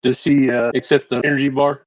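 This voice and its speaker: A man's voice: mid-range voice, flat delivery, brisk, no room sound. A man playing a tabletop game, casually describing and voicing what his character does, on slightly dull audio.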